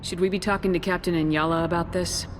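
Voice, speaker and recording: An actress playing a steady, direct female military commander in a video game, blunt and controlled. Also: fairly low pitch, quick pace, noisy background, lightly acted. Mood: firm, probing. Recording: long reverb, music behind.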